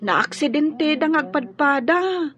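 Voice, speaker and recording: A woman's voice: sits high, measured pace, a bit bright. An actress playing a young female student, a concerned and consoling friend, in a radio drama. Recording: dull radio drama audio.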